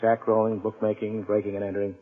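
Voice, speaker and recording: A man's voice: on the low side, flat delivery, fast, lightly acted. An actor playing a middle-aged police lieutenant, clipped and matter-of-fact, narrating his case in vintage radio crime drama style. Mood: terse, businesslike. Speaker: detective narrator. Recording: old radio recording, narrow band, music behind.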